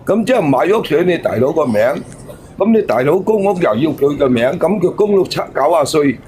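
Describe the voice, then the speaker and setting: A man's voice: fairly high pitch, animated, brisk, a little mellow. A man, argumentative and scolding, giving his opinions in a phone-in debate. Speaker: caller giving commentary. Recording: faint noise and ambience behind.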